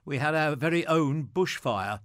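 A man's voice: a little high, expressive, unhurried, fairly bright tone, dry recording, studio quality. A man presenting scripted material and reading anecdotes aloud.

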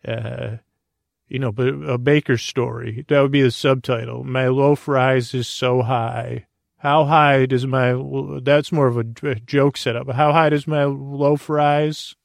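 Guy doing a sleepy-time podcast monologue, real croaky fry, meanders and trips over words, mumbly, totally dry sound. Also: mid-range voice, steady tone, takes it slowly, dry recording. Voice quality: creaky. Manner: mumbly, self-deprecating. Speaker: podcast host and storyteller. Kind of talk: rambling bedtime monologue to help listeners sleep.

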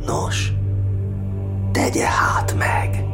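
An actor playing a gentle, patient priest who tells anecdotes in measured, poetic verse for an audio drama. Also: male voice, in a whisper, over music, lightly acted. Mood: wry, tender reminiscence.

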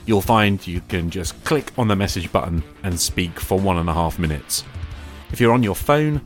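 A man's voice: sits low, lively intonation, moderate pace, soft background music. A man speaking as a podcast host about tabletop roleplaying, addressing the listener directly and encouragingly.

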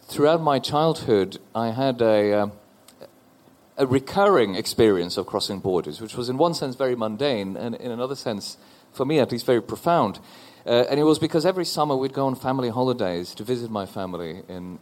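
A man in his forties from Norway, a panel guest telling a personal story in a thoughtful, halting way on stage before an audience. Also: medium pitch, a little mellow. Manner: thoughtful, halting with repeated restarts.

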